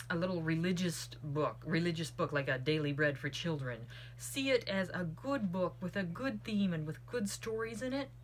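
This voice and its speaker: A woman's voice: mid-range voice, a bit bright. A woman giving a casual spoken book review in an earnest, opinionated way, drifting into tangents. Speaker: book club host reviewing a book. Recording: clearly audible background noise.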